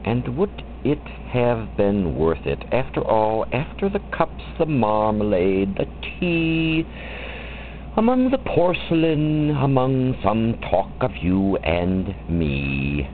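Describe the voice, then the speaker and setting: Theatrical man reciting poetry, widely swooping pitch. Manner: theatrical, deliberate, with wide swoops and drawn-out phrases. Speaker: poetry reader. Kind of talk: expressive dramatic poetry recitation. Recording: muffled lo-fi recording with faint background noise.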